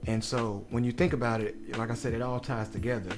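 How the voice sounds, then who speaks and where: A man speaking as a guest on a radio interview. He leads a mentoring group and explains its goals in a measured way, with many fillers and restarts. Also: mid-range voice, steady tone.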